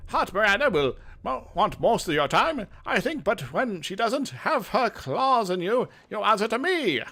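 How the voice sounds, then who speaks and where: A man voicing a hearty middle-aged woman officer in a fantasy game, loud, brisk and welcoming. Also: high voice, big pitch swings, voice pushed up, exaggerated voice.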